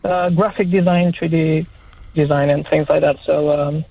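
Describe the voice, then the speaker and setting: A man from Romania phones in to a radio show, his fairly high voice squeezed through a thin, phone-like line. He is friendly but hesitant, repeating words as he searches for them. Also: little pitch movement, moderate pace, gravelly. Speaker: caller. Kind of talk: phone-in call.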